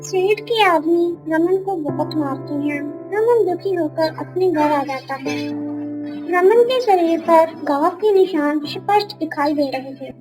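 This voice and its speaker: A woman's voice: very high-pitched, expressive, measured pace, over music, rough recording. A woman narrator reading a scripted moral story for a cartoon.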